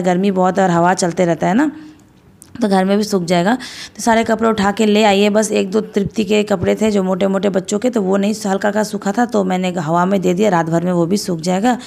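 A woman in her thirties from India, narrating her home chores in a chatty, homely voice-over, still hoarse after a cold. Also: medium pitch, a little mellow. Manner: chatty, homely, rambling with fillers. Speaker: vlogger.

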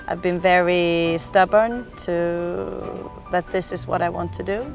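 A reflective woman in her thirties from Sweden, speaking English in a low register with a gravelly edge. She pauses often and searches for her words. Faint music plays behind her. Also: far from the mic. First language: Swedish. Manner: reflective, earnest, with frequent hesitations. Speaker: actress. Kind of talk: documentary interview. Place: outdoors on a lawn.

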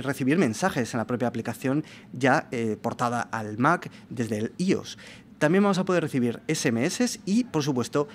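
Young guy w doing a news rundown, mid-pitched voice, bit of room noise behind. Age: young adult. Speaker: presenter. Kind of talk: explanatory tech news summary to camera. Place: meeting room with large window, indoors. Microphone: microphone on a desk arm. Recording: clear background room noise.

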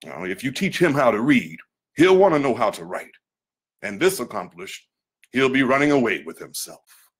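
A man's voice: medium pitch, sing-song, moderate pace, husky. A man voicing a stern, domineering nineteenth-century slave owner in a memoir reading, emphatic and lecturing. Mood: angry, commanding.

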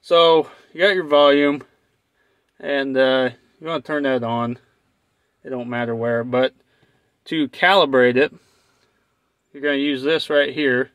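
A man's voice: mid-range voice, measured pace, pauses often, fairly bright tone, dry recording. Laid-back American man, dry close sound. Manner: laid-back, folksy, plain-spoken. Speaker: truck driver and vlogger. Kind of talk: casual hands-on tutorial chat. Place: inside a parked truck cab.

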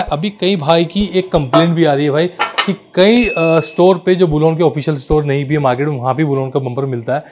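A man, informally showing and explaining products to viewers as a shop presenter. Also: fairly high pitch. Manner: explanatory, addresses viewers as brothers. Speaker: auto accessories shop presenter. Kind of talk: informal product showcase to camera. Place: small indoor car accessories showroom.

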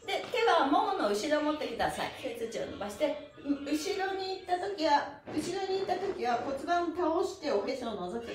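A middle-aged woman leading an online stretch class in a cheerful, animated, encouraging manner. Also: high voice. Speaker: stretch class instructor and host. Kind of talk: live online exercise lesson, counting and chatting to participants. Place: small room indoors, on a mat on the floor. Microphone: camera a few steps away. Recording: roomy echo.

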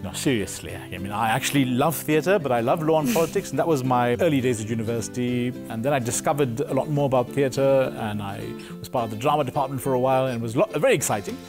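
A man's voice: mid-range voice, fast, few pauses, music in the background. A middle-aged South African man speaking articulately and earnestly as a playwright and director in an interview. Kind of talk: interview answers and explaining. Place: theatre auditorium and stage. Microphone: lapel mic.

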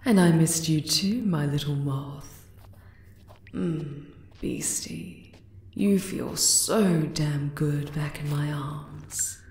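A woman performs a regal monster queen in an intimate ASMR romance. She speaks very slowly in a breathy voice that often sinks to a whisper, tender and possessive. The sound is low in pitch and echoes around a roomy space. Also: darkish tone. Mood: doting, seductive. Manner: slow, doting, sensual endearments.

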